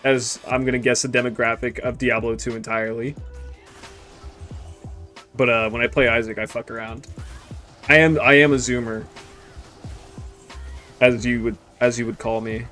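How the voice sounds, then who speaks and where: A man's voice: medium pitch, steady tone, moderate pace, pauses often, pushed and bright, faint music behind. Young man rambling crudely while gaming. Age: 20s. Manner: rambling, crude and joking, trailing off into mutters. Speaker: gaming streamer. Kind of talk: casual stream chat while gaming.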